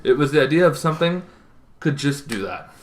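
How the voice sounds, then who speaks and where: A young adult man giving an informal explainer to camera as a host, talking in an animated, conversational way and thinking aloud with asides. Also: on the high side, brisk, a little hiss or hum. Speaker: host of a tabletop roleplaying advice channel. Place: small bedroom, indoors.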